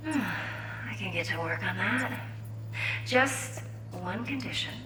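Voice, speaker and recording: Slow, sultry, teasing seductress. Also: female voice, medium pitch, lively intonation, reverberant, background noise. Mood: seductive, coaxing. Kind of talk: intimate video game acting.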